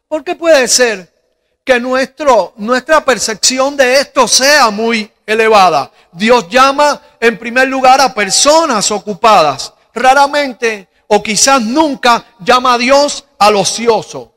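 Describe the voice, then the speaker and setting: A middle-aged man from Cuba with a Cuban Spanish accent, preaching a sermon into a handheld mic in a large church hall, slow and deliberate, with rhetorical questions. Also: very high-pitched, sharp tone. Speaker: pastor. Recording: long hall reverb.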